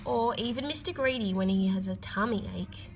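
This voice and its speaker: A woman reading a children's picture book aloud, with expressive emphasis and drawn-out key words. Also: mid-range voice, noisy background. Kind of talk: read-aloud storytelling for children.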